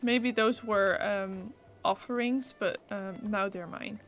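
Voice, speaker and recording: A woman's voice: mid-range voice, steady tone, takes it slowly, a bit bright, soft background music. Chatty Dutch woman streaming a game. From Netherlands. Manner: sarcastic, chatty, jokes at the game. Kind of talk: casual gameplay commentary.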